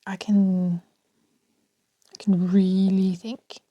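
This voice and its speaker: Slow, soft-spoken Danish woman. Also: mid-range voice, little pitch movement, no room sound, muffled recording. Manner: rambling, soft, often half-whispered, laughs between thoughts. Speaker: podcast host. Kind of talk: unscripted solo podcast rambling.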